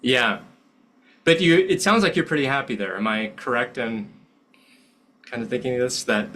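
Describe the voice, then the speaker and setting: Male podcast host, lowish voice, probing and checking in, faint hiss behind. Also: fairly bright tone. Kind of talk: casual interview questions.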